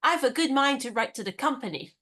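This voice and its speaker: A woman's voice: sits high, lively intonation, fairly quick, pitched above the performer's own voice, heavily acted. A woman voicing a cheerful, chatty young woman in a radio play. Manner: chatty, bright, playful.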